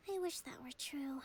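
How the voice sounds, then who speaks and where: A woman voicing a poised young priestess leader in a video game, thoughtful and firm, with composed, formal, measured speech. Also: sits high, background noise. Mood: weary.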